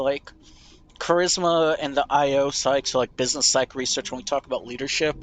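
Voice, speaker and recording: Young man, nasal, bright voice, rambling self-deprecating game commentary, faint background noise. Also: mid-range voice. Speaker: gamer playing a question game. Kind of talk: casual reflective commentary.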